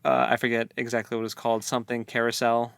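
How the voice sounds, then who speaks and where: A man's voice: medium pitch, little pitch movement, fast, vocal fry, a bit bright, no room sound. A man co-hosting a casual book discussion with laid-back, hesitant phrasing. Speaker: co-host of a book read-along discussion.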